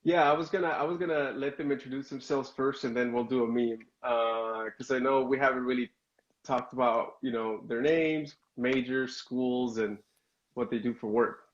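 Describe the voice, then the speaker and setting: A man's voice: medium pitch, muffled recording. A man hosting a casual live stream, speaking evenly with many fillers. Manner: even-toned, halting with fillers. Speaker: host.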